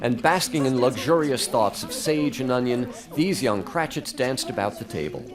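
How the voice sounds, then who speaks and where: Animated male storybook narrator. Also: medium pitch, normal speed, crowd noise. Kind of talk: dramatic scripted storytelling in live radio drama. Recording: faint music behind.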